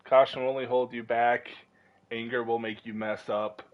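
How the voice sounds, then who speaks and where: A man's voice: mid-range voice, level tone, normal speed, muffled recording. A man giving casual, rambling running commentary on a video game, musing aloud as he goes. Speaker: game streamer.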